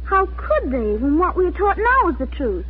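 A woman's voice: very high voice, sing-song, moderate pace. A woman playing an earnest, impressionable eleven-year-old girl in a 1940s radio drama, with a childlike, emotional delivery. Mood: earnest doubt. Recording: scratchy, tinny 1940s radio recording.

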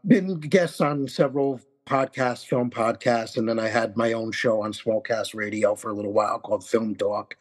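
A man in his forties from Connecticut with a New York accent, a podcast guest who rambles casually about his film work. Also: lowish voice. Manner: rambling, many fillers and restarts.

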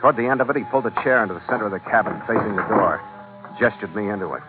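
Man as low-voiced hardboiled American captain, old radio. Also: racing, lightly acted. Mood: tense. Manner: terse, wry, hardboiled storytelling. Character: hardboiled sea captain, tough, wry adventurer. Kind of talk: 1940s-50s radio adventure narration and acting. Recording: tinny vintage radio recording with music.

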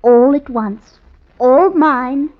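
An actress playing a scheming, sweet-talking wife in a coaxing, deliberate manner, in 1950s radio drama style. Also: female voice, high-pitched. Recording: muffled vintage radio recording.

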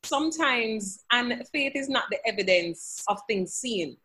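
A woman's voice: on the high side, expressive, unhurried, bright, forceful tone. A woman guest on a podcast interview, speaking earnestly from her home office and quoting scripture. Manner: earnest, faith-filled, quotes scripture. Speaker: podcast guest, language educator. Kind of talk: conversational interview answers.

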